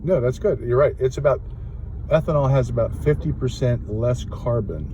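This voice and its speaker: A man's voice: mid-range voice, moderate pace. A man interviewing a passenger in a car, chatty and probing with leading questions. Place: inside a car in city traffic. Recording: dull lo-fi sound with clear car noise.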